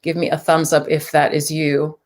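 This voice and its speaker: A woman's voice: sits low, level tone, moderate pace. A woman from New York hosting a casual live stream, reading viewers' comments aloud and chatting loosely with fillers and asides. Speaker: livestream host.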